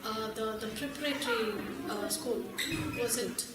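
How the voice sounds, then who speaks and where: A woman's voice: on the high side, unhurried. A mother in the audience asking hesitant questions about her daughter's schooling. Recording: echoey hall, distant mic, loud noise.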